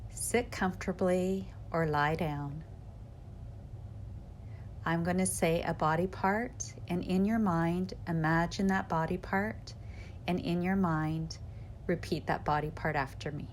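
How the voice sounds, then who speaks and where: A woman guiding a yoga nidra meditation in a calm, measured, instructional way, with short cues and pauses. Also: fairly low pitch, a little hiss or hum.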